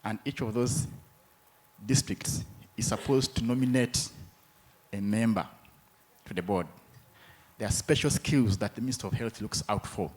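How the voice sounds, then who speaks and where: A man from Uganda who works in hospital administration, speaking from the floor at a conference in a formal, measured, methodical way. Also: lowish voice, darkish tone, husky, poor audio. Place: conference room.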